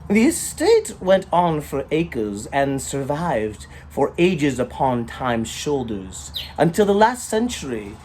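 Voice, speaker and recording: A man's voice: fairly high pitch, wildly swooping pitch, takes it slowly, few pauses, a little mellow. Theatrical male storyteller, noisy recording. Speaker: storyteller, author reading own work. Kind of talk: dramatic rhyming short story reading.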